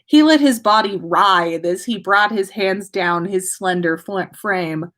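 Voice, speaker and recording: A woman with a mid-pitched voice hosts a relaxed story-reading stream. She speaks at an unhurried pace, moving between expressive narration and informal chat with her listeners. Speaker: podcast host reading listener fan fiction aloud.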